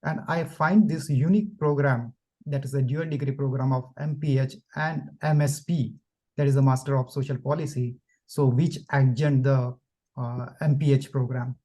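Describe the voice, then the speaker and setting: A man's voice: mid-range voice, fairly even intonation, moderate pace, mellow, poor audio. A man from India introducing himself as a graduate student on an online panel, polite and thanking the hosts repeatedly. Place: video call.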